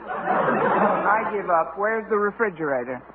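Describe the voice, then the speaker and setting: Wisecracking schoolteacher woman, 1950s radio sitcom, lively intonation, studio crowd behind her. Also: medium pitch, takes it slowly. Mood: wry. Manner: dry, wisecracking. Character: wry, quick-witted schoolteacher woman. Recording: noisy 1950 radio broadcast, narrow band, audience.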